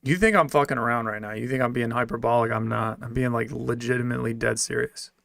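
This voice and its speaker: A man livestreaming, reading out his chat and answering it in a joking, deadpan way. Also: medium pitch, quick pace, vocal fry, dry recording.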